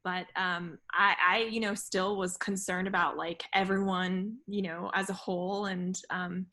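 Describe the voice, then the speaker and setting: A woman writer chatting casually in a halting way. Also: lowish voice, level tone, bright, forceful tone. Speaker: writer in a theatre collective. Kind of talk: casual chat.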